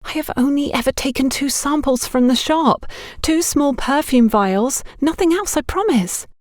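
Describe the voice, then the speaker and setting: A woman's voice: high-pitched, expressive, normal speed, no echo, clean studio sound, pitched above the performer's own voice. A woman voicing a frightened young shop girl who pleads nervously and urgently in an audiobook story. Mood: urgent, pleading.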